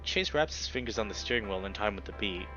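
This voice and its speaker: Bright-voiced man reading aloud over music. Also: on the low side, lively intonation, fast, lo-fi sound. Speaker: let's player reading a visual novel aloud. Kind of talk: expressive narration of story text.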